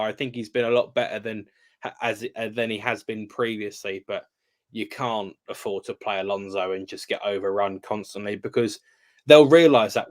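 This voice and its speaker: A man on a casual football podcast, hesitant and rambling with many ums. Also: lowish voice. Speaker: guest panelist.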